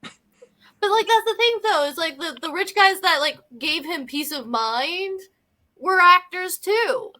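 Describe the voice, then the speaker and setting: High, bright-voiced woman. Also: animated, takes it slowly. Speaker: film podcast panelist. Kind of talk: casual movie discussion.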